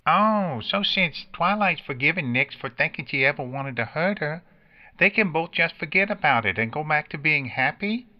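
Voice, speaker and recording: Man voicing a high nasal little pony filly. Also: lively intonation, moderate pace, highs cut off, natural voice. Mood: brightly curious. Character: young pony filly with a nasally voice, curious and literal.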